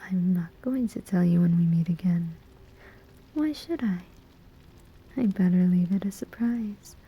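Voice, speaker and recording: A sly female demon, acted by a woman in a whispered ASMR roleplay. Her voice is very soft, dark and heavily breathy, and she takes her time, taunting with quiet menace and deliberate, teasing phrasing. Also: lowish voice, poor audio.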